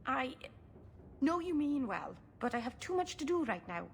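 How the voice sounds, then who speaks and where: A gentle, scattered young female elf from a video game. Her voice is fairly high and wistful, putting herself down, with faint noise behind it. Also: big pitch swings, normal speed, creaky, heavily acted. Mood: defensive. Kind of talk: video game cutscene acting.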